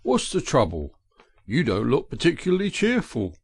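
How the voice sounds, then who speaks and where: Man playing a burly engine driver, gravelly. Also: mid-range voice, wildly swooping pitch, normal speed, no echo, studio quality, full character voice. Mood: concerned. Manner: blunt, folksy, matter-of-fact. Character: burly, good-natured working-class male engine driver. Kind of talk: audiobook character voice.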